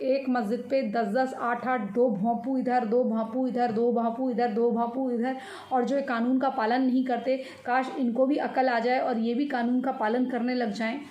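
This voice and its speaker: A woman with an Indian accent giving informal news commentary, explaining in a halting, repetitive way and mixing in English words. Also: fairly high pitch, warm tone. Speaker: commentator on social and religious news. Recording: echoey room with faint noise.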